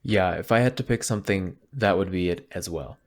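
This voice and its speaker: A male podcast host asks his guest questions in a relaxed, conversational tone. His voice is very low. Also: fairly quick, slight background noise. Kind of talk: casual interview questions.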